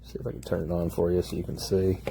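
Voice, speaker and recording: A man's voice: sits low, monotone, fast talker, gravelly, warm tone, a little hiss or hum. A man giving a casual, plain-spoken product demonstration. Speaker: product reviewer.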